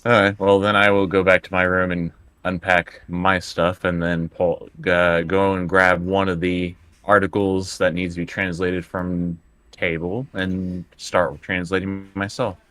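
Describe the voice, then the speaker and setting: Deep-voiced man playing a tabletop game. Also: level tone, unhurried, fairly bright tone, no room sound. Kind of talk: casual in-character improvised play.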